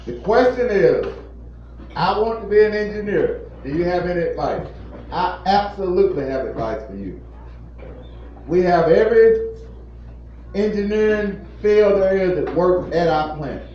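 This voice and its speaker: A man in his fifties, a plant manager, giving an earnest, repetitive motivational talk to a group of children. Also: high voice. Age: 50s. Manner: earnest, repetitive, preacherly emphasis. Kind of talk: informal motivational talk to kids. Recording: echoey, distant, muffled room recording with faint noise.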